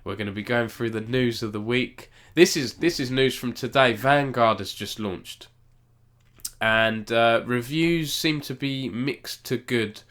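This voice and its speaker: A man's voice: medium pitch, unhurried, a bit bright. A man with a cold, sounding nasal as he hosts a rambling, self-correcting live-stream esports news roundup and chats with viewers.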